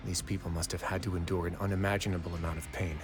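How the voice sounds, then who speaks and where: A man playing a composed, shrewd duke of a prison fortress in an anime-style video game, speaking in a measured, deliberate way. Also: low voice, little pitch movement, close to an everyday voice. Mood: grave. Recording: music playing behind the voice.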